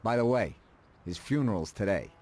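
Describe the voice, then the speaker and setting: A low, dry mafia consigliere. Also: male voice, expressive, a little mellow. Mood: stern, dry. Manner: matter-of-fact, dry, deliberate. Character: calm, calculating male mafia consigliere, drily ruthless. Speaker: mob advisor character. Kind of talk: video game voice acting.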